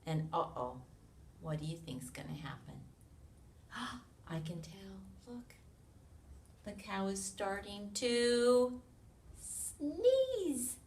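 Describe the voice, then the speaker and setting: An American woman reads a picture book aloud to young children in an expressive way, with sound effects, questions and hushed asides to her listeners. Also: medium pitch, very slow, pauses often. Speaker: children's read-along reader. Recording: roomy, distant mic with noise.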